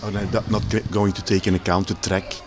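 A man's voice: deep voice, flat delivery, fast. A man interviewing a guest, asking questions with frequent restarts and repeated words. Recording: music playing behind the voice. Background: background music.

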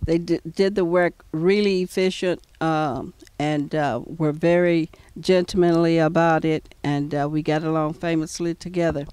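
Older woman, soft-spoken, polite, hesitant fillers, outdoors, handheld mic, dry. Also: low voice, fairly even intonation, moderate pace, few pauses, smooth, no room sound. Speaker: customer. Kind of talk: casual testimonial answers. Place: outdoors in front of a house. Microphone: handheld mic held by the interviewer.

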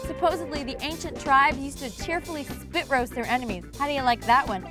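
A woman's voice: high-pitched, fairly quick, music in the background. A woman co-hosting a backyard cooking show, teasing and playful. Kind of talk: hosting with banter. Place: backyard outdoors.

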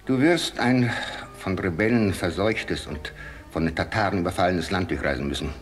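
An actor playing a solemn, commanding Tsar in a German radio play, speaking in formal, imperious, measured phrases. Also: male voice, low voice, lively intonation, faint music behind. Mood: grave warning. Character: solemn, commanding Russian Tsar, a grave male monarch.

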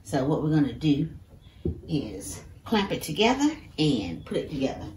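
A middle-aged woman with a low voice walks through each step of a woodworking build in casual, friendly how-to talk. The sound is a bit roomy, as if from a camera mic in a home workshop, with faint ambience behind her. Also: wildly swooping pitch, normal speed, a little mellow, slight background noise. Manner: chatty, explains each step, says "y'all". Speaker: DIY woodworking creator. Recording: echoey workshop room.

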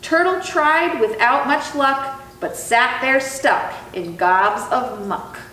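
Young woman, storytime host, high voice, unhurried, expressive, sing-song, roomy recording. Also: recorded from a distance. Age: young adult. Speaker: zoo educator and storytime host. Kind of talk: expressive children's storybook reading. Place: indoor room, reading through a face mask. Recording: roomy sound with faint background noise.

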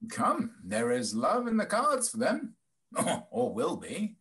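Man playing a halting, chuckling old gentleman. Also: fairly high pitch, wildly swooping pitch, heavily acted. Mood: amused, bluff. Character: genial old gentleman father, chuckling and bluff. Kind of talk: online staged reading of Restoration comedy. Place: home room on a video call.

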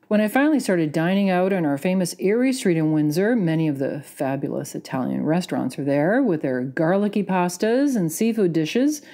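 Mellow-voiced woman telling a wry story. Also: lowish voice. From Canada. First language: Romanian. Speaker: listener contributor. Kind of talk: read personal story.

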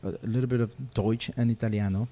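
A man's voice: fairly low pitch, fast talker. A man whose first language is Spanish, a podcast guest telling stories in casual chat and acting out other people's voices. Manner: animated storyteller who acts out other people's voices. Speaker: podcast guest, rock photographer.

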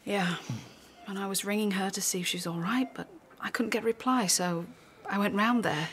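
A woman's voice: mid-range voice, moderate pace, a bit bright, close to an everyday voice. A woman acting in a radio drama as a sceptical, protective friend with a dry, pointed way of talking. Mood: subdued. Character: sceptical, protective, blunt-spoken female friend.

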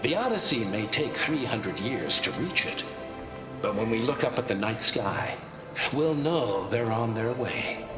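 Echoey, grandiose corporate pitchman. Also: animated, measured pace. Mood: grand, proud. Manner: grandiose, persuasive. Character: polished, grandiose corporate pitchman for space colony venture. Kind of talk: scripted promotional presentation, video game acting. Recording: echoey projected playback with music. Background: music.

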